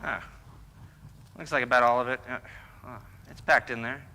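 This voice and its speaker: A man playing a bumbling, boastful, excitable husband in an old-time radio comedy, with a theatrical, blustering delivery. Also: medium pitch, normal speed. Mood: grumbling. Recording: echoey hall, background noise.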